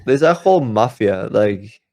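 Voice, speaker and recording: Male podcast co-host, low creaky voice, dry sound, casual halting delivery. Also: animated, mellow, dry recording. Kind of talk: casual chat.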